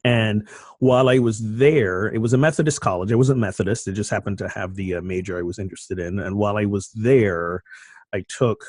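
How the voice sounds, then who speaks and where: A middle-aged man from Barbados, telling his story as a guest minister in a relaxed, self-deprecating way. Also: on the low side, a little fast, no echo, studio quality. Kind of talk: casual conversational storytelling.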